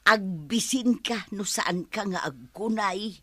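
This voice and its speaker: Bright-voiced Ilocano village wife. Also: female voice, medium pitch, slow, no echo. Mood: resigned. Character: plain-spoken, struggling village wife. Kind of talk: radio drama dialogue.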